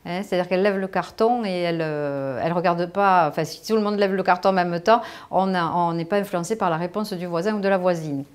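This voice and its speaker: A woman who facilitates at a social centre, explaining a voting method in a brisk, practical way. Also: on the low side, clean tone.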